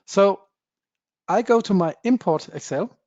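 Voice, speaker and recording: Man giving a software demo. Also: high-pitched, lively intonation, measured pace, dry recording. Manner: plain explanatory talk with frequent uh fillers, non-native phrasing. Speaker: software presenter. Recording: dull dry webinar audio.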